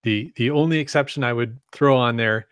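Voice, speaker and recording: Laid-back podcast cohost guy, agreeable, slightly low voice, dry close mic. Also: brisk, clean tone, no echo, highs cut off. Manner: easygoing, agreeable, laughs often. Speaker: podcast co-host. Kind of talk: casual podcast chat.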